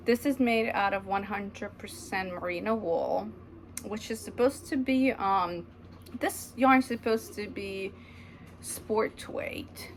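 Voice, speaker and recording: A woman's voice: medium pitch, a little hiss or hum. A woman in her thirties, from Ukraine, chatting casually at home about her knitting, rambling with many ums. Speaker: knitting vlogger. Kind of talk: casual chat to camera. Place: home, indoors.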